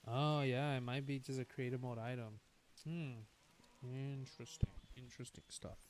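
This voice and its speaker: A man's voice: mid-range voice, steady tone, normal speed, slight background noise. Chatty streamer man with a nagging cough. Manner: chatty, rambling and upbeat. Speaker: gaming streamer. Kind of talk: casual gameplay commentary. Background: game music.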